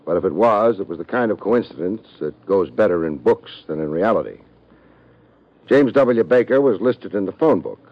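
A man's voice: sits low, lively intonation, normal speed. An actor playing a dry, wisecracking private detective who narrates his own case in an old radio drama, on a muffled old recording. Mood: brooding. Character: wisecracking hard-boiled male private detective. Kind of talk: 1940s radio drama acting.

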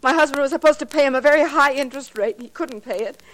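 An actress playing a distressed, defensive widow, emotional and insistent, in 1940s radio crime drama style. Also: female voice, high-pitched, fast talker, fairly bright tone, low-quality recording. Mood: reluctant, distressed.

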